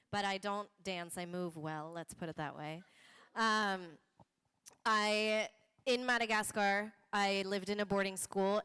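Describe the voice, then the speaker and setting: A woman's voice: medium pitch, a bit bright, clear, resonant tone. A woman from Norway, an actor on a panel, speaking reflectively and searching for the right words. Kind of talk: panel talk. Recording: reverberant hall.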